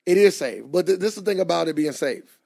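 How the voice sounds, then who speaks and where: A man, co-hosting a casual podcast, animated and quick to laugh as he makes his points. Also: a little high, gravelly, husky, dry recording. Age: 20s. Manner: animated, laughs often, drawn-out emphatic points. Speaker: podcast co-host.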